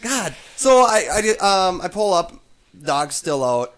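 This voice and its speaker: A man's voice: high voice, very animated, a little slow, a bit bright. A young man with a stuffed-up nose, telling rambling stories to friends, swearing and laughing as he goes. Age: young adult. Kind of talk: casual chat among friends.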